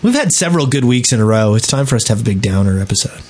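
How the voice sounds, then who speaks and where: A man's voice: mid-range voice, very animated, racing, heavy background noise. A man co-hosting a podcast in casual, joking gaming chat and reading out listener mail. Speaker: podcast co-host.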